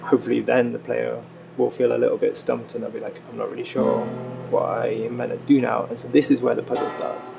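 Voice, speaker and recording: A man with a heavily creaky, mid-pitched voice explains things informally as a game designer. Loud guitar music sits under the interview. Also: flat delivery, a little fast. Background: loud plucked guitar music.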